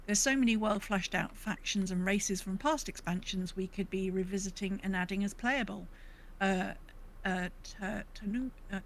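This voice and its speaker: A woman co-hosts a podcast in a mid-pitched voice. She moves between casual chat and reading out comments from listeners. There is faint background noise on her recording. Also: fairly bright tone, low-quality recording. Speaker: podcast co-host.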